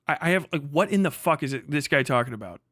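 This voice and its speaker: Man, livestream reaction rant, slightly high voice, dry recording, mocking, profane, repetitive emphasis. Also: expressive, quick pace, fairly bright tone, dry recording. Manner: heavy swearing, indignant, mocking; repeats phrases for emphasis. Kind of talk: combative off-the-cuff reaction commentary.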